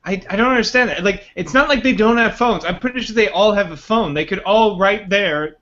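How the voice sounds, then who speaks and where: A man jokes his way through a loose podcast chat, riffing on goofy bits. His voice is high. The sound is dull and lo-fi. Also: brisk, pushed and bright. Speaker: podcast co-host. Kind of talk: casual joking chat.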